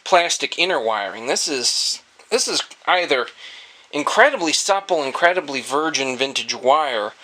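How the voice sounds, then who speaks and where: A man's voice: a little high, big pitch swings, normal speed, sharp tone, poor audio. A man casually demonstrating a hands-on repair, thinking aloud as he works. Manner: thinking aloud while working. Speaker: host of an antique radio repair video.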